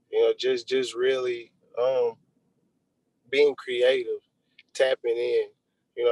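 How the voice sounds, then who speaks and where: A man's voice: medium pitch, darkish tone, no room sound, poor audio. Male interview guest, laid-back and reflective, casual conversational delivery. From Texas, United States. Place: remote video call.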